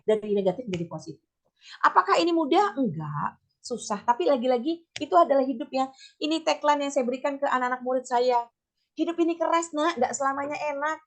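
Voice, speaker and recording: A middle-aged woman with a slightly hoarse voice, giving an informal teachers' workshop talk in a chatty, step-by-step way sprinkled with English terms. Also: on the high side. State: slightly hoarse, voice not back to normal. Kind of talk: informal training talk with personal examples.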